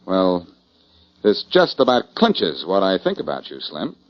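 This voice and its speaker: Confident probing sleuth, swooping intonation, breezy, lo-fi 1940s radio detective drama. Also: male voice, low voice, huge pitch swings, unhurried, heavily acted. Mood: challenging. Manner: probing, wry. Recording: muffled lo-fi 1940s radio broadcast.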